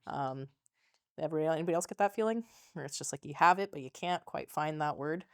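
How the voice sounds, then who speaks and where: A woman in her thirties hosting a school podcast, chatting casually and giving steady encouraging backchannels, recorded on a lapel mic in a small studio. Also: deep voice, quick pace, dry recording. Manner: encouraging, frequent "mm-hmm" backchannels. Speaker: podcast host, teacher. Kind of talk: casual interview chat.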